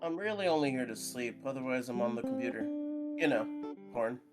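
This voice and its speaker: A man reading the lines of a friendly, easygoing young man in a visual novel, in a relaxed, offhand way. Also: medium pitch, fairly quick, music in the background, natural voice.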